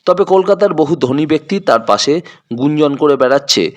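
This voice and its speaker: A man's voice: fairly high pitch, lively intonation, normal speed, a little hiss or hum. A man narrating a scripted detective audio story in a dramatic storytelling style.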